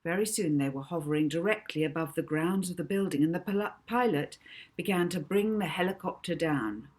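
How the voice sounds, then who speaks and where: Woman narrating a kids audiobook, lowish husky voice, expressive storyteller. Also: a little fast. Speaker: author reading own children's novel.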